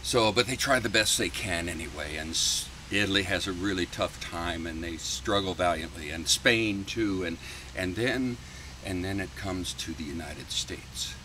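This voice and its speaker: A man telling an informal, satirical fairy tale in a halting, folksy, conversational way. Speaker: storyteller. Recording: noisy, distant mic with background rumble.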